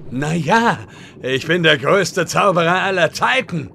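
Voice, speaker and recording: Man as vain wizard, self-important, clueless, slightly high voice, comedic radio play, noisy background. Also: expressive, unhurried, creaky, full character voice. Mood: pompous. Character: vain, clueless male great wizard in online game. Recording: loud sound-effect ambience behind voice.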